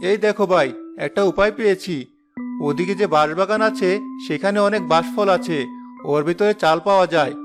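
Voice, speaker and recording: Man as poor village farmer, high voice, earnest, hopeful, cartoon story, clear music bed. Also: a little fast, lightly acted. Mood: helpful. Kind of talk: cartoon voice acting. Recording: music behind the voice. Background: background music.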